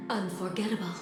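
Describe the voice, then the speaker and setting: A woman playing an elegant, self-assured villain in a video game, sinister and silky with mock courtesy. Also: mid-range voice. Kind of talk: video game character acting. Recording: heavy echo, music underneath.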